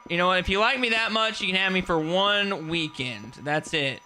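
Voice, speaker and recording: A man's voice: high-pitched, moderate pace, pushed and bright, a little hiss or hum, low-quality recording. A young man casually commentating a horror game, joking and swearing as he plays. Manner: joking, swearing, talking to himself. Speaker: gaming streamer. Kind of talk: casual let's-play commentary.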